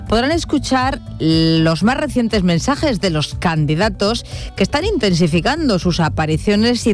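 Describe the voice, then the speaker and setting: A woman opening a radio news program in a formal, measured delivery. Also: on the low side. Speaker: news presenter.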